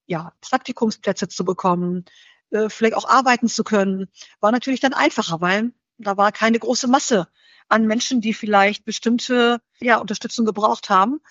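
A woman giving careful, conversational answers as an interview guest. She talks in a measured way, often searching for her words. The recording is dry and slightly muffled. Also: medium pitch, fairly bright tone, dry recording.

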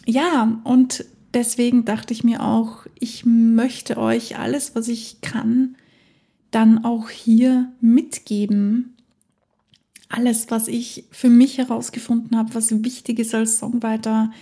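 Female podcast host, mellow tone, relaxed unhurried delivery, upbeat solo chat. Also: on the high side, breathy edge. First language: German. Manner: chatty and enthusiastic, laughs often. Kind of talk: casual solo podcast monologue.

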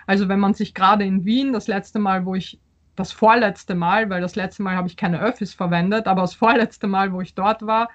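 A woman's voice: medium pitch, steady tone, moderate pace, highs cut off. Thoughtful bilingual woman, hesitant delivery. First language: Polish. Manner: thoughtful, hesitant, many fillers and restarts. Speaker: author. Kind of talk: interview answers.

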